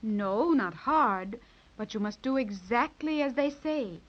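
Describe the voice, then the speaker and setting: A muffled 1930s radio recording of a gracious fairy queen. Her voice is high, and she speaks with gentle, regal care and kindly authority. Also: expressive, slow pace. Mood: gently instructive. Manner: gentle, regal, carefully enunciated.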